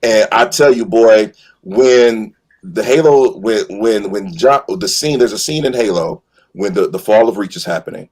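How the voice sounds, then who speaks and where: A man with a fairly low, husky voice talks on a fan panel. He is emphatic and colloquial, circling around his points in a loose, passionate conversational style. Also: normal speed, a little mellow, low-quality recording. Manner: emphatic, rambling, colloquial phrasing. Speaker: fan panelist on a live show. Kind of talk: casual passionate fan discussion.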